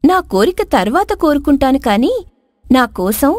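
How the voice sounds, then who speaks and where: A woman's voice: high voice, sing-song, normal speed, dry recording, studio quality. A woman voicing an earnest, wronged young princess in a moral folk tale, speaking formally and solemnly. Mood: earnest, sorrowful. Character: spurned dark-skinned young princess, earnest, wise, wronged.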